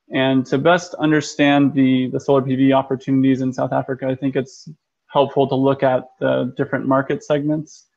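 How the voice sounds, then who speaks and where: An American man, an energy consultant, giving a webinar presentation in a measured way with frequent ums and restarts. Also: medium pitch, gravelly, a little mellow. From United States. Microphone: video call. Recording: muffled lo-fi web call audio.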